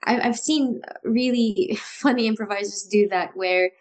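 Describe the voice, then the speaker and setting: A woman co-hosting a casual talk about improv, animated and often restarting her sentences with a laugh. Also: fairly high pitch, measured pace. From Philippines. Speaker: improv podcast co-host. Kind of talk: casual chat.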